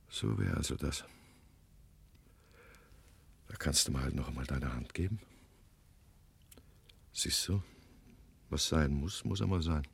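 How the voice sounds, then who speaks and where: A very deep, heavily husky middle-aged man in a radio play. He speaks in a tender, folksy, wistful way, as an older married man still in love. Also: full character voice. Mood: resigned. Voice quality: gravelly, husky. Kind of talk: German radio drama acting.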